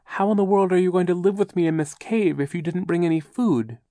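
A fretful, prim older woman with a high, scolding voice, put on by a male audiobook narrator. She fusses over grammar and worries aloud about everything. The reading is close and dry. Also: fairly quick, dark tone, no echo, close to an everyday voice. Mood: fretful, cross. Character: fussy fearful older woman guardian, pedantic about grammar. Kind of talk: audiobook character voice.

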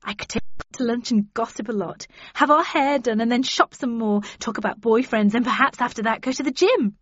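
A woman's voice: medium pitch, lively intonation, moderate pace, breathy edge, dry recording, highs cut off. A woman voicing a childishly exuberant, vain young woman who chatters in a gushing, girlish way. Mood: pleading, eager.

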